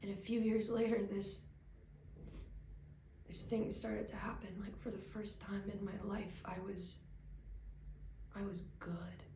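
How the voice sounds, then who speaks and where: A woman performing a dramatic monologue as a grieving, self-doubting young cook, speaking in a halting, confessional way. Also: mid-range voice. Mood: quiet, reflective. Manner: halting, hesitant, confessional, with stammered restarts. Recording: echoey room, clear background noise.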